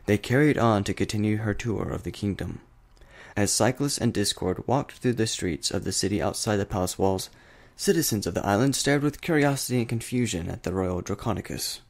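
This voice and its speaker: A man's voice: lowish voice, brisk. A man reading a fantasy story aloud as scripted narration.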